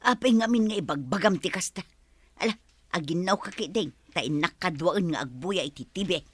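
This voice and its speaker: A woman's voice: on the low side, animated, normal speed, breathy edge, no echo. A woman with an Ilocano accent playing a bossy, money-minded village wife in a comedy radio drama, scolding and ordering.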